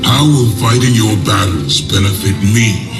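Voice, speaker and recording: A man playing a brutal, imperious deposed warlord emperor in a video game cutscene, gravelly and menacing. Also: lowish voice, lively intonation, a little slow, roomy sound, distant mic, over loud music. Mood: wary, imperious. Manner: menacing, commanding.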